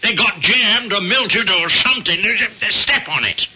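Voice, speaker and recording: An actor playing an excitable, bossy older man in an old-time radio drama, emphatic and condescending. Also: high voice, unhurried, slight background noise, exaggerated voice. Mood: brusque. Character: excitable, bossy older male boss, stubbornly suspicious. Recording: dull old radio recording.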